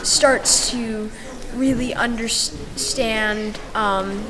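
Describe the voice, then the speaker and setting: A young girl with a fairly high voice talks casually in an interview, pausing to search for words. A crowd is clearly audible behind her. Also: fairly even intonation, poor audio. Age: child or teenager. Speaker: young cast member.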